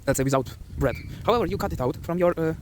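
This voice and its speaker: Young Ukrainian-accented man, earnest and loose motivational vlogger; slightly higher voice, faint hiss underneath. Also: quick pace. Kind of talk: motivational monologue.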